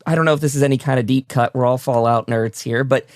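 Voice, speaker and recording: A man's voice: medium pitch, fairly even intonation, fast talker, no room sound, studio quality. A man hosting a podcast interview in a casual, wordy, encouraging and enthusiastic way. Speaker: podcast host interviewing a guest.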